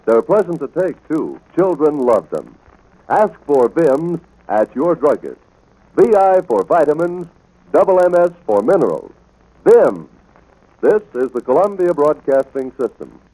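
Mellow, lively 1940s radio pitchman. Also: a little slow, rough recording. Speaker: commercial announcer. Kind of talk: scripted 1940s radio advertising.

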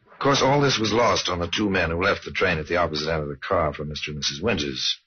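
A man performing as a wry, ominous storyteller in an old-time radio mystery, speaking with deliberate theatrical irony. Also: low-pitched, breathy edge. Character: wry, ominous older male storyteller of true crimes. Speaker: narrator and host of a crime anthology. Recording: dull, noisy old radio recording.

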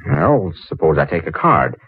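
A man's voice: extremely low pitch, sing-song, moderate pace, slightly rough, dry recording, full character voice. An actor playing an eager, flustered, smitten young inventor in 1940s radio drama style, hesitant and muttering as he goes. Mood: flustered pleading. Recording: muffled old 1940s radio recording.

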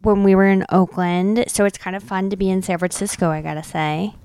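A woman's voice: medium pitch, fairly even intonation, fairly quick, darkish tone, clean tone, dry recording. Woman bantering on a podcast, dry close sound. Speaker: podcast co-host. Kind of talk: casual banter about books.

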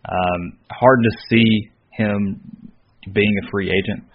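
A man's voice: lowish voice, steady tone, slow pace, slight background noise. A man co-hosting a sports podcast, giving casual analysis in short, considered phrases. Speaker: podcast co-host. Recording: slightly dull, lo-fi audio.

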